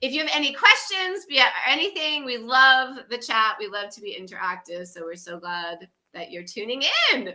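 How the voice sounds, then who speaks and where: A woman's voice: fairly high pitch, normal speed, few pauses, bright, forceful tone. A woman in her fifties co-hosting a wellness livestream, talking in a casual, effusive way and laughing often. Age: 50s.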